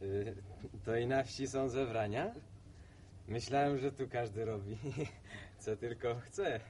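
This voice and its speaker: A man acting an unhurried, amused city visitor. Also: mid-range voice, darkish tone, a little hiss or hum, natural voice. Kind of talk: radio play acting.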